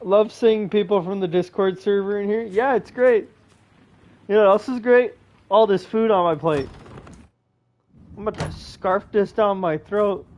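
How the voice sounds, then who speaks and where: High-voiced, slangy man livestreaming. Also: measured pace, a little mellow. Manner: slangy, jokey. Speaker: streamer reacting to a game. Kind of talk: casual livestream chat. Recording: dull, slightly lo-fi stream mic.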